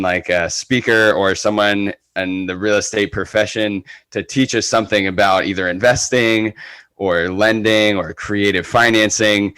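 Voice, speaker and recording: A man's voice: fairly low pitch, a bit bright, clean tone, no echo. A man speaking casually as an interview guest on a podcast, earnest and enthusiastic. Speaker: podcast guest, real estate agent.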